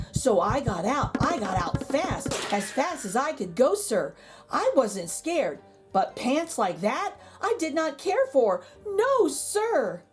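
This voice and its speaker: A woman's voice: high voice, full character voice. A woman voicing a jittery, easily frightened picture-book narrator, telling a rhyming tale with suspenseful, dramatic emphasis.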